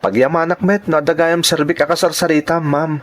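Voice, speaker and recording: A man's voice: a little high, little pitch movement, fairly quick, natural voice. A man with a Filipino accent playing a polite, devoted young student in an earnest radio drama style. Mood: concerned. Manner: respectful, earnest. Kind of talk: Ilocano radio drama acting.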